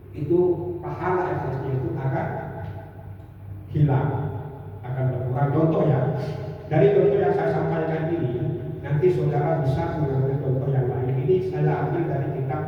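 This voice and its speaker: Male preacher in a distant echoey hall. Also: fairly high pitch. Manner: conversational, illustrates with everyday anecdotes; repeats phrases for emphasis. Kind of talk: informal religious talk to a congregation. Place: large reverberant indoor space, recorded from far off. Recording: distant, echoey hall, dull with faint noise.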